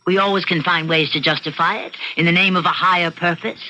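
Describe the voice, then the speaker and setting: An actress playing a defiant, bored housewife with murderous wishes, arguing and justifying herself in an old-time radio mystery style. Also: female voice, on the low side, fairly even intonation, quick pace, bright, forceful tone. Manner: argumentative, self-justifying. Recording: muffled vintage radio recording with noise.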